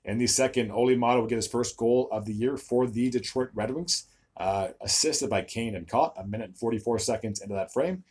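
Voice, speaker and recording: A young man hosting a solo hockey recap video, his voice a bit raspy from recording several videos in a row, stumbling over player names and filling gaps with "uh". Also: medium pitch, a little fast, a little mellow. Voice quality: slightly raspy, tired voice.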